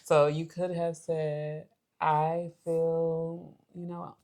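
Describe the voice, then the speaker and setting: A woman's voice: low-pitched, flat delivery, drawn-out words, darkish tone. A woman co-hosting a casual relationship discussion, speaking conversationally and often checking in with a "you know". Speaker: podcast co-host.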